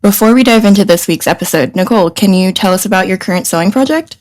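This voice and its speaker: A woman's voice: mid-range voice, fast talker, a little mellow, dry recording. A young woman from New Jersey co-hosting a casual podcast, reading a scripted intro and then chatting loosely with fillers. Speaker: podcast co-host.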